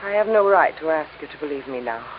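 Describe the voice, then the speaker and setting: A woman's voice: low voice, lively intonation, fast talker. An actress playing a secretive, pleading woman in trouble in an old radio melodrama, with earnest, dramatic delivery. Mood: pleading, evasive. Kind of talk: 1940s-50s radio melodrama acting. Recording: muffled, noisy old radio recording.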